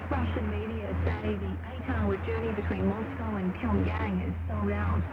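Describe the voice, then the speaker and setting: A woman's voice: mid-range voice, fairly even intonation, normal speed, over loud music. A woman presenting a scripted radio news bulletin, received over shortwave. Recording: tinny shortwave radio, very loud static.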